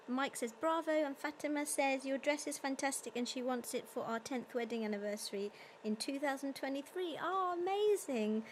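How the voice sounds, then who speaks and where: A woman's voice: sits high, slight background noise. A young woman, a singer hosting a live-streamed concert, chatting casually between songs, reading out viewer comments and retelling a story in a loose, searching way. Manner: chatty, reads out viewer comments and thanks them warmly.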